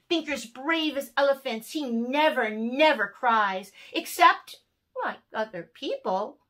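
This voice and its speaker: A woman's voice: a little high, bright, forceful tone, heavily acted. A woman in her sixties voicing a fond, earnest little boy in a storybook poem, in a singsong, confiding way.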